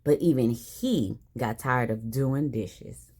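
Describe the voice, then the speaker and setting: A woman reading a children's picture book aloud in an expressive style with dramatic emphasis. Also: very deep voice, slow, husky. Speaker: storybook reader. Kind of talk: animated children's read-aloud.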